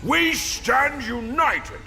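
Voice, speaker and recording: An actor playing a proud, defiant warrior leader in a video game, declaiming solemnly and forcefully. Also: male voice, very high voice, lively intonation, drawn-out words, gravelly, exaggerated voice. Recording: reverberant game audio with music.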